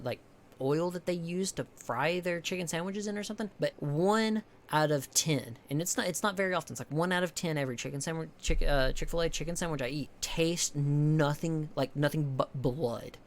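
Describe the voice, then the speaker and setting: A young man from the with a higher-pitched voice, chatting casually with his stream viewers, rambling, swearing a lot and restarting often. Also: a little hiss or hum. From American South. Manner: rambling, heavy swearing, many restarts and fillers.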